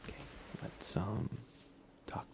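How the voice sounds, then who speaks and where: A man streaming ASMR in a soft, often whispery voice, chatting in an unhurried, low-key way with pauses. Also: noisy background. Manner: hushed, unhurried, relaxed delivery with pauses. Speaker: ASMR livestreamer.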